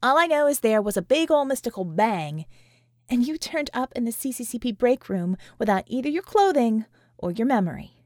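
Narrator doing a wry tired sardonic woman, higher voice, audiobook character, very dry recording. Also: expressive, normal speed, a little mellow, no room sound, close to an everyday voice. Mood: weary, wry. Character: sardonic, sharp-tongued, weary woman tech-mage.

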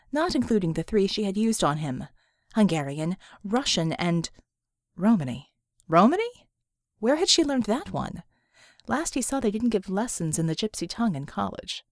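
A woman narrating an action novel as an audiobook, with expressive, dramatic storytelling delivery. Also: medium pitch, moderate pace, no room sound, clean studio sound. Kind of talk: dramatic scripted fiction narration.

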